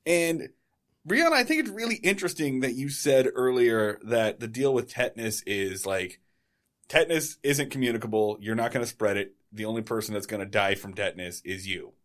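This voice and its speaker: A man's voice: mid-range voice, fairly quick. A man co-hosting a podcast, joking and chatting casually about a TV show. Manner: lively, joking, laughs often. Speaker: podcast co-host. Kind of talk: casual chat.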